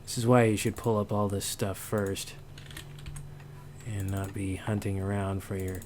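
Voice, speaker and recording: Brief: male tutorial host, lowish voice, casual halting walkthrough, thinking aloud, slight background noise. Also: little pitch movement, a little mellow. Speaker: sound designer giving tutorial. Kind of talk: casual, unscripted software walkthrough.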